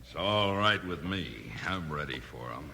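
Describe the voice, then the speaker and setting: An actor playing a vengeful, scheming outlaw in a 1950s radio Western, with menacing frontier talk. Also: male voice, very deep voice, expressive, a little slow, sharp tone, exaggerated voice. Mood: grimly vengeful. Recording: noisy old radio recording.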